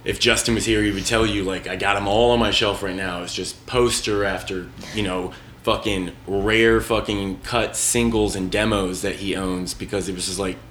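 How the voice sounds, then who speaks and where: This is a man with a notably deep voice hosting a casual podcast conversation. He rambles and curses freely, with faint noise under the recording. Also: fairly even intonation, normal speed, a bit bright. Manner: loose, profane, rambling. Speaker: podcast host. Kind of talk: casual chat.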